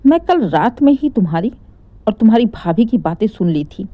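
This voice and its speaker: Woman voicing a stern, sarcastic mother-in-law, very animated. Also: mid-range voice, moderate pace, no room sound, highs cut off, natural voice. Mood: sarcastic, accusing. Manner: scolding, pointed rhetorical questions. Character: stern, sarcastic middle-aged Indian mother-in-law, righteously indignant.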